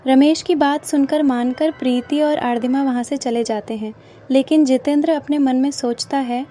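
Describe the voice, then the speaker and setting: A woman's voice: high-pitched, steady tone, moderate pace, dark tone, slight background noise. A woman narrating a scripted horror cartoon story.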